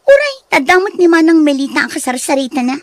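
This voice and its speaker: A woman's voice: sits high, big pitch swings, fast talker, dry recording. A woman acting a proud, snobbish young graduate in an Ilocano radio drama, with an Ilocano accent and a haughty manner. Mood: haughty, irritated.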